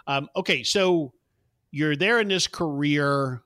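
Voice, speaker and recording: A man's voice: a little high, slow pace, fairly bright tone, no room sound. Male host, fifties, raised in South Florida, friendly conversational questions, dry close recording. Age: 50s. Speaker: podcast host. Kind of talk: casual interview chat.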